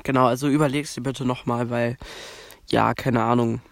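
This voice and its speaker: A man's voice: mid-range voice, steady tone, moderate pace, vocal fry, no room sound. A young man from Germany with a cold, hosting a podcast in a rambling casual monologue, full of fillers and restarts. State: has a cold. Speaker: podcast host.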